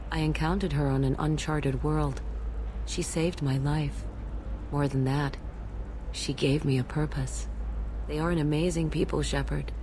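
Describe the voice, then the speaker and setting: A solemn female alien messenger from a sci-fi game, acted by a woman, with a notably low voice. She is earnest and calm, with a reverent devotion in her delivery. Faint ambient noise sits behind her. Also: lightly acted. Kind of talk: scripted video game dialogue.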